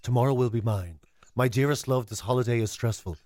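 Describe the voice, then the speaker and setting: A grave, long-suffering male diarist, acted out by a comic man in a mock-epic comedy bit. The voice sinks low and delivers each line with measured melodrama, dry and close. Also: darkish tone, no echo, close to an everyday voice. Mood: solemn, mournful. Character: solemn, long-suffering holiday diarist writing to his love. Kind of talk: mock-epic diary reading.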